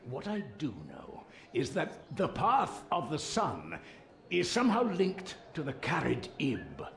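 An actor playing a crafty, stern royal spymaster in a video game, speaking formally and deliberately. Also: male voice, a little high, wildly swooping pitch, gravelly, roomy sound, heavily acted. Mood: grave, insistent. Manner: formal, deliberate, measured diction. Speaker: spymaster character.

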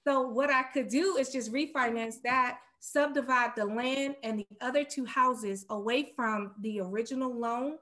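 Middle-aged woman, investor guest on a video call, fairly high voice, conversational. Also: moderate pace, hardly any pauses, a bit bright. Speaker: guest real estate investor. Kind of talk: casual business interview. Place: room at home, indoors, on a video call.

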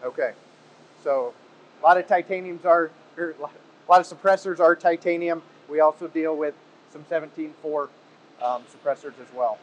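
Upbeat man hosting, higher-pitched voice. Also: normal speed, dull audio. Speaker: video host. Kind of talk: casual presenting and interviewing. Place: industrial workshop, indoors. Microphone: clip-on wireless mic on shirt. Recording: clear background noise in workshop.

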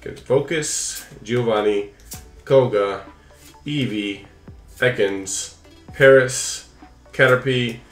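A man's voice: medium pitch, slow, long pauses, reverberant, faint music behind. A young man chatting casually and playfully as he hosts a card-opening video, talking to viewers and his pet. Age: young adult. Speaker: host of a card-opening channel. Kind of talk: casual chat, running commentary on opening trading cards. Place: indoors, small room at home.

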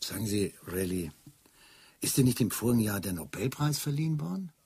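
An actor playing an impatient, sardonic Scotland Yard superior in a radio play, commanding and wry. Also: male voice, fairly low pitch, expressive, brisk, mellow, husky, exaggerated voice. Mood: brisk, impatient. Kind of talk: German radio play dialogue.

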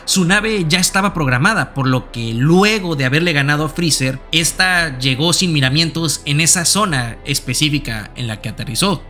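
Male anime explainer narrator, slightly high and sharp-edged, emphatic, conversational with the audience. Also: expressive, moderate pace, hardly any pauses. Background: background music.

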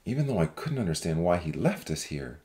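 A man playing a gentle, devout believer in a dramatized audiobook, speaking earnestly. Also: deep voice, sing-song, brisk. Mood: reflective, solemn. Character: gentle, devout men in a Bible story.

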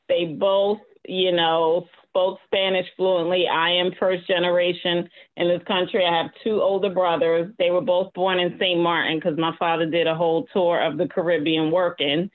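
A middle-aged woman from New Jersey on a video call, telling a personal story in an animated way. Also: normal speed, few pauses. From United States, New Jersey. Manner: animated storytelling, mock quotes with big pitch jumps. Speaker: panelist, business owner. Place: home room, indoors. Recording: tinny phone-like call audio.

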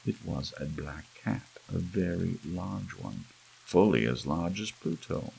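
Deep, gravelly man narrating a gothic tale. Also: low-quality recording. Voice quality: gravelly, husky. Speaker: audiobook narrator. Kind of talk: scripted gothic story narration, ASMR. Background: soft rain.

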